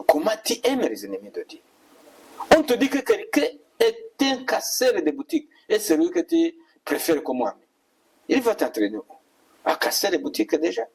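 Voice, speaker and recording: A man's voice: high-pitched, a little mellow, slightly rough, far from the mic, rough recording. A male preacher, delivering an admonishing, repetitive exhortation as a sermon. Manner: admonishing, repetitive rhetorical questions.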